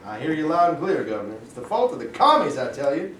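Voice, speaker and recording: A man's voice: fairly high pitch, big pitch swings, fast talker, fairly bright tone. A man in a staged reading playing an agitated, folksy segregationist state official who sounds alarmist and emphatic. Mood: deferential. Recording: roomy, distant mic, faint noise.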